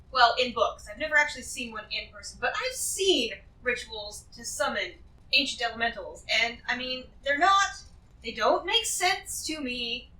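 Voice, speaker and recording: A woman's voice: high voice, bright, forceful tone, a little hiss or hum, exaggerated voice. A woman playing a greedy, shrewd treasure-hoarder in a fantasy role-play, rambling and emphatic as she justifies herself. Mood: skeptical. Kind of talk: improvised tabletop fantasy role-play acting. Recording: echoey room, distant from the mic.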